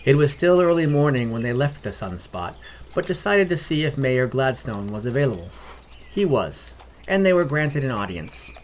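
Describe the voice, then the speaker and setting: A man's voice: medium pitch, big pitch swings, moderate pace, a little hiss or hum. A man reading scripted fantasy fiction as the narrator.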